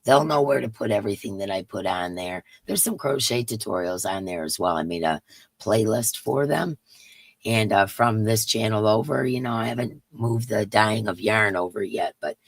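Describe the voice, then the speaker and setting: Woman, raspy voice, emotional, livestream host, sing-song greetings, husky. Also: rumbling low, animated, moderate pace, dry recording. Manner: greets chat viewers by name in sing-song tones. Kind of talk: casual livestream chat. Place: yarn room.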